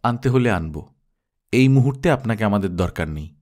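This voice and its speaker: A man's voice: fairly low pitch, animated, normal speed, darkish tone, voice pushed down. A man voicing a composed young woman boss in an audiobook, speaking in a matter-of-fact, decisive way. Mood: cool, firm. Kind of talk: audiobook character dialogue.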